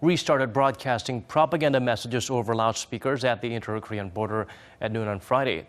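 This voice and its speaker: A man anchoring the news, reading a scripted introduction. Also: mid-range voice.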